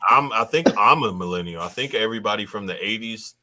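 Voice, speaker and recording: Deep-voiced laid-back man chatting. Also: lively intonation, fast, pushed and bright. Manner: laid-back slangy talk, says "bro" often. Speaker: podcast host. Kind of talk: casual chat.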